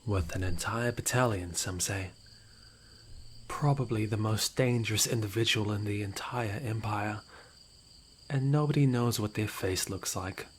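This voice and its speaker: A man's voice: lowish voice, a little slow, natural voice. A man playing a smug, nonchalant rogue informant in a fantasy audio roleplay, with a theatrical, mocking, playfully menacing way of talking. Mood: mocking, insinuating. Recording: noisy outdoor night ambience with crickets. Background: crickets and night insects.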